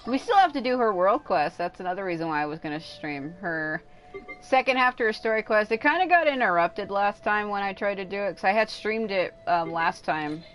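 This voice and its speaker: A woman with a mid-pitched voice talks casually on a game livestream. Her chat is informal and rambling, with the odd offhand swear. Game music plays clearly behind her. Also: lively intonation, brisk, fairly bright tone, rough recording.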